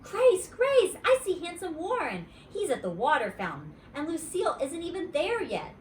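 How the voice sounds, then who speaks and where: A woman voicing an excitable, chatty little schoolgirl storybook character, insistent and gushing, with childish grammar. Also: very high voice, normal speed, pitched above the performer's own voice, exaggerated voice. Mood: thrilled. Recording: roomy, distant mic, faint noise.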